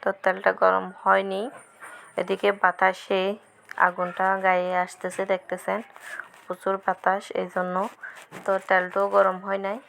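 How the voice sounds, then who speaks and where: A woman's voice: medium pitch, monotone, unhurried, creaky, a bit bright. A woman vlogger talking viewers casually through her cooking step by step, repeating herself as she explains each action, in a noisy home recording. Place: village cooking spot with wind-blown fire.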